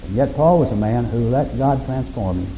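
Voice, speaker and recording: A man preaching a sermon in a folksy, unhurried way, with self-deprecating humor and a monotonous, mellow voice. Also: mid-range voice, slight background noise, poor audio. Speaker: pastor.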